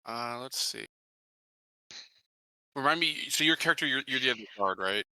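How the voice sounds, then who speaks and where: A male game player with a very bright, forward voice. He talks casually and plainly, describing what his character does and says. The recording is close and dry. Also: mid-range voice, animated, moderate pace, dry recording, poor audio.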